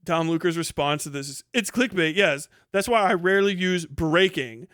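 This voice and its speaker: Guy livestreamer doing sweary reaction commentary, highish clear voice, blunt and mocking, dry sound. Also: normal speed, fairly bright tone, dry recording. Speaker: livestream host. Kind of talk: casual live reaction commentary, reading posts aloud.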